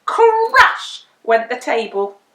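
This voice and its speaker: A woman storyteller reads a children's picture book with very lively, swooping intonation. Her voice is bright and slightly high, her delivery dramatic and deliberate, in a roomy echoing space. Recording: roomy indoor echo.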